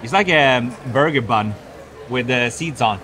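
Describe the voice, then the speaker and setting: A man's voice: mid-range voice, unhurried, bright, forceful tone. A man in his thirties from Sweden with a Swedish accent, talking upbeat and playful as a food vlogger on a lapel mic at an outdoor food court. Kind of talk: casual food-tasting chat. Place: outdoor food court seating under a roof.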